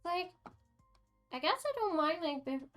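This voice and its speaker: A woman's voice: very high voice, sing-song, unhurried, a bit bright, soft background music. A young French Canadian woman with a French Canadian accent, chatting casually on a livestream, rambling with lots of fillers and restarts. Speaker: streamer.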